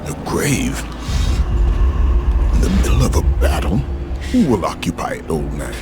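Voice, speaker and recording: A man's voice: extremely low pitch, sing-song, a little slow, exaggerated voice. A man playing a fierce, vengeful Spartan warrior in a video game, with a brusque, menacing manner. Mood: scornful. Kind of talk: video game cutscene acting. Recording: very loud music behind the voice.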